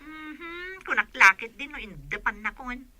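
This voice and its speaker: An eager young bride-to-be, high and very bright in voice. She has a Filipino accent and chats bubbly in radio drama style, recorded close and dry. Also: lively intonation, a little slow, no room sound, heavily acted. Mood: eager, excited. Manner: chatty, eager.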